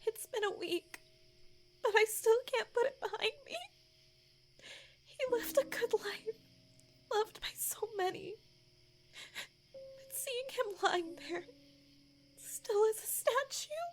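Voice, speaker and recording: A woman voicing a gentle, long-lived wife with a soft, tender, plaintive way of speaking in a fan drama dub. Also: very high voice, slow, long pauses, slight background noise. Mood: tearful grief. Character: gentle grieving wife, long-lived, mourning lost friends. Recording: music bed with plucked guitar.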